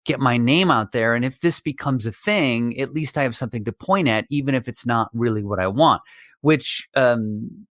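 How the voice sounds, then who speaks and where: Man, mid-pitched voice, podcast host, casual, halting delivery, dry recording. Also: fairly bright tone, no echo. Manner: halting, repeats words while thinking. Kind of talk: casual chat.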